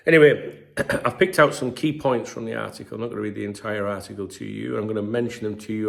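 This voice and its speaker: A middle-aged English man with a stuffy, congested voice and a sore throat, chatting casually as a football channel host and often clearing his throat. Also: lowish voice. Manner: chatty, frequent throat clearing, filler-heavy. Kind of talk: casual commentary to camera.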